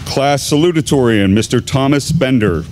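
A man in his fifties, an assistant high school principal, giving a formal, deliberate graduation address at a podium microphone in a large gymnasium. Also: lively intonation, crowd noise, noisy background. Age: 50s. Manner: measured, deliberate announcing with pauses.

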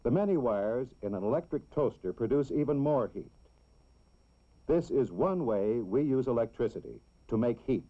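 An American man reads scripted narration in the style of a 1960s educational film, explaining things simply and deliberately for children. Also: medium pitch, expressive. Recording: dull, lo-fi old film soundtrack.

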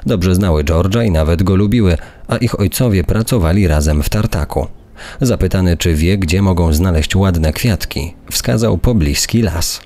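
A man's voice: low voice, moderate pace, dark tone. A man reading a scripted true-crime narration. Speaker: podcast narrator. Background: background music.

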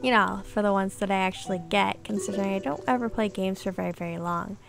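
A woman's voice: medium pitch, steady tone, fast talker, vocal fry, over music. A woman giving casual, chatty video game commentary, thinking aloud and exclaiming as she plays.

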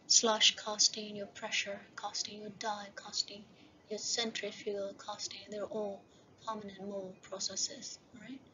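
A woman gives a recorded university lecture over slides, often checking in with "right?" and slowing down to read out each heading. Her delivery is flat and steady. The recording sounds muffled and lo-fi, with a faint hiss behind her. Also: medium pitch. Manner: repeats "right?" and "okay?" as checks.

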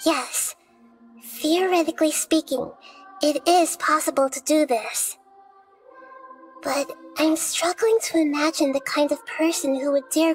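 A woman voicing a gentle child-like girl deity in a video game, speaking in a measured, articulate, reflective way. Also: sits high. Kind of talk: scripted game dialogue. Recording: game music behind.

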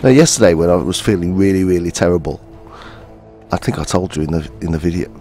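A man in his fifties with a gruff voice, hoarse from a cold, chatting casually and self-mockingly while playing a game. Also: deep voice, fast talker, mellow. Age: 50s. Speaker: gaming channel host. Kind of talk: casual let's-play commentary. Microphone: headphones. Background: game music.

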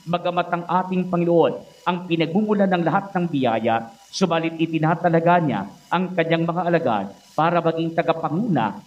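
Higher-pitched Filipino priest, dull muffled sound. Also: male voice. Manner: didactic, asks and answers own questions. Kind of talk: homily, explanatory preaching. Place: indoors at a church altar. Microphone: microphone on stand at lectern, face mask worn.

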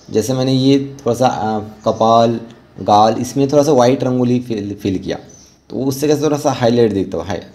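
Man with a mellow, subdued voice. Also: mid-range voice. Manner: informal, halting, with English terms mixed in. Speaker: rangoli art instructor. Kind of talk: step-by-step tutorial narration.

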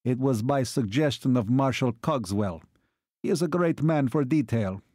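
A man voicing a suave, gently menacing Hungarian military attaché in a story, formal and deliberate. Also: mid-range voice, dry recording, clean studio sound, close to an everyday voice. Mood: smooth, knowing.

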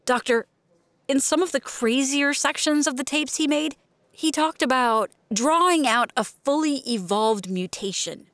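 A woman's voice: high voice, a little slow, fairly bright tone, no room sound. An actress playing an earnest, concerned woman in an audio drama, probing in a conversational way. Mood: urgent concern.

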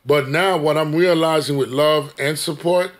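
A man hosting a podcast in a small studio, interviewing calmly on a studio mic. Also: on the high side, normal speed. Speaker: podcast host. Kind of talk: casual interview. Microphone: studio mic on boom.

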